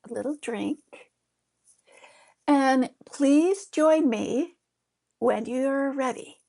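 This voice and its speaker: A middle-aged woman with a high, slightly gravelly voice leads a beginner's mantra meditation. She speaks slowly and gently, encouraging listeners with relaxed fillers and small restarts. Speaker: yoga therapist teaching mantra. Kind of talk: guided meditation lesson.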